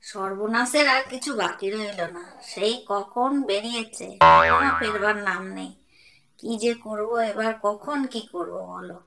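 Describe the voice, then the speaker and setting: A woman's voice: medium pitch, measured pace, vocal fry. A woman voicing an anxious, flustered housewife in an amateur cartoon, fretting and complaining. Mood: worried, fretful. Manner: fretful, muttering complaints to herself. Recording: very noisy home recording.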